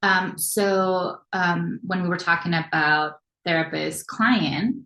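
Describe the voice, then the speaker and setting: A woman from Mexico with a Mexican Spanish accent, a therapist talking reflectively in a panel discussion with frequent "um" pauses. Spanish is her first language. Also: on the low side, steady tone, bright, forceful tone. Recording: roomy echo.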